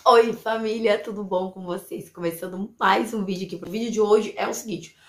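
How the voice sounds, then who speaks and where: A woman in her twenties with a Brazilian accent and a hoarse voice, chatting casually and informally to the camera as a vlogger. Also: medium pitch, fairly even intonation, fairly quick. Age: 20s. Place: small tiled room at home, indoors. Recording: echoey bare room.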